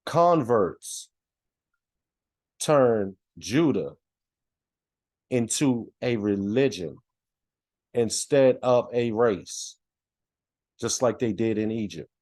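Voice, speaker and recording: A man's voice: on the low side, expressive, drawn-out words, pauses often, a little mellow, dry recording, studio quality. A man hosting a religious commentary video, speaking emphatically and repeating his points for stress. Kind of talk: preaching commentary over clips.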